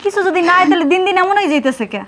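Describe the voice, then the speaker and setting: A woman's voice: very high-pitched, animated, very fast, fairly bright tone, a little hiss or hum. A woman playing an anxious, caring younger sister-in-law in a drama, urgent and coaxing, with a Barisal accent from southern Bangladesh. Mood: worried. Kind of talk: emotional drama acting.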